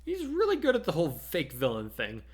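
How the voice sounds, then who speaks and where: Man as a high, nervous teenage boy. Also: fairly quick. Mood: intimidated. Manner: hesitant, excitable, whiny. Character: nervous, whiny teenage boy with electric powers.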